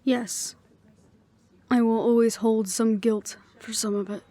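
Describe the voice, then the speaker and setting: A woman playing a composed, dutiful middle-aged leader, speaking in a measured, reflective way in an audio roleplay. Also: on the high side, steady tone, no echo. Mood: reflective, resolute. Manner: measured, reflective, quietly firm.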